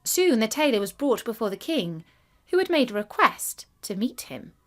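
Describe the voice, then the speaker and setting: A woman narrating a scripted fairy tale for children in a deliberate, expressive bedtime reading. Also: fairly high pitch. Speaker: storyteller.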